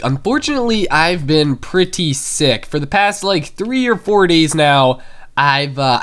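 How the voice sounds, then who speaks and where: Young male gaming YouTuber, congested from a cold, upbeat rambling let's-play chatter, voice on the higher side. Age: young adult. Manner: chatty and excitable, many fillers. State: stuffy from a cold. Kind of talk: casual Minecraft let's play commentary.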